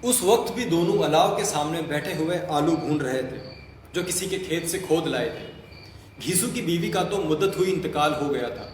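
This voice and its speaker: Guy narrating a scripted story, highish voice, really echoey with faint noise. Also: expressive, moderate pace. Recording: echoey hall, long reverb, faint noise.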